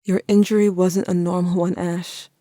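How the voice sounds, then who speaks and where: A woman playing a brooding, guilt-haunted young narrator in an audio drama, speaking in a measured, confessional way. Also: fairly low pitch, little pitch movement, mellow, dry recording, clean studio sound, lightly acted. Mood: uneasy, reflective.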